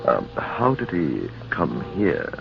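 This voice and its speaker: A man acting a loyal, sorrowful friend with a solemn, measured delivery in an old-time radio drama. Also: a bass voice, wildly swooping pitch, creaky, over music, exaggerated voice. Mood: mournful. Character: sorrowful loyal friend of a captain, recounting tragedy. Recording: muffled, noisy old radio recording.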